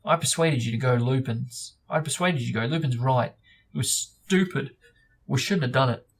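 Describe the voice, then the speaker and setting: A man reads a teenage schoolboy from a storybook, hot-tempered and weighed down by guilt. The voice is husky and creaky, and the words come out blunt and heated. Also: medium pitch, moderate pace. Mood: remorseful. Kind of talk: storybook dialogue reading.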